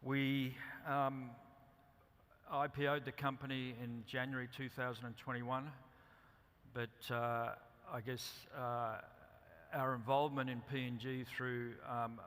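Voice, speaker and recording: Aussie guy giving a talk, kinda flat delivery, lots of ums, echoey hall. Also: mid-range voice. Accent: Australian. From Australia. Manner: halting, many ums and restarts. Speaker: company director presenting. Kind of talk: conference presentation. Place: conference hall. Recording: reverberant hall.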